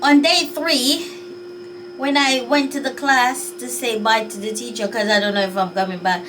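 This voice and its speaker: A woman's voice: on the high side, fairly even intonation, normal speed, recorded from a distance, music in the background, poor audio. A Jamaican woman vlogging in a chatty, unsure way, sighing as she thinks things over. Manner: chatty, musing and unsure, with sighs. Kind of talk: casual talk to camera.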